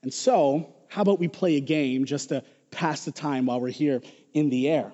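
A preacher putting on a chatty genius on a plane, easygoing and talky, his own hoarse voice, long echo of a big hall. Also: on the high side, moderate pace, mellow, muffled recording, lightly acted. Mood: friendly.

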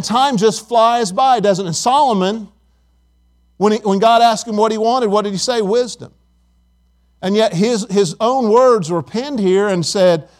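A man in his fifties preaching a sermon, reading scripture and praying, in an earnest, conversational way with frequent repeated words. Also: sits high. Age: 50s. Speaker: pastor.

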